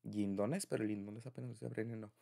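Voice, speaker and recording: A man's voice: lowish voice, steady tone, racing, creaky, dark tone. A young man with a Mexican accent speaking informally and confidingly in an intimate, conversational boyfriend roleplay. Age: young adult. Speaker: audio roleplay creator speaking to a partner.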